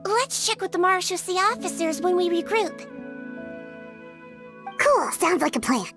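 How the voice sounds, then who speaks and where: A woman voicing a small, childlike, curious fairy companion in a video game, squeaky and cheerful. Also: lively intonation, moderate pace, fairly bright tone, faint music behind, full character voice. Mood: wry aside.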